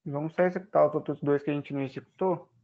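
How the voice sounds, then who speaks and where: A man's voice: fairly high pitch, fairly even intonation, racing, lo-fi sound. A man walking through a program as he runs it, thinking aloud and checking with the class. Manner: thinks aloud while typing inputs, frequent "né?". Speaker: student presenting code. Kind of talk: live coding walkthrough.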